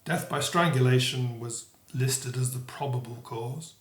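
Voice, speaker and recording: A man's voice: mid-range voice, a little slow, lightly acted. Kindly retired police sergeant, elderly played by a male actor, modest and hesitant, audio drama, echoing room. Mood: matter-of-fact.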